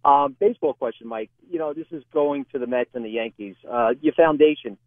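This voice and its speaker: A man's voice: mid-range voice, brisk, dry recording. Male sports radio caller on thin phone line. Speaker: radio call-in listener. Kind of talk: casual sports question.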